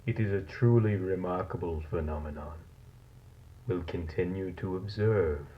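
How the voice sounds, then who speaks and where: Guy doing a cold creepy scientist reading his lab log, real deep voice, muffled old tape sound, analog horror. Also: a little hiss or hum, close to an everyday voice. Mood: fascinated. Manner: clinical, measured, formal. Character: cold, fascinated male scientist logging a monstrous experiment. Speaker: narrator of a found-footage audio log. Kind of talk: scripted horror narration, dated lab log. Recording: dull lo-fi tape recording.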